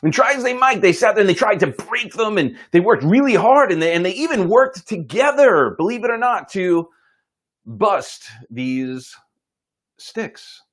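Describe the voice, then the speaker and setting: A man telling a motivational story in an informal, folksy and earnest way, pausing for dramatic effect. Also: high voice, expressive, a bit bright. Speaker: host telling a moral story.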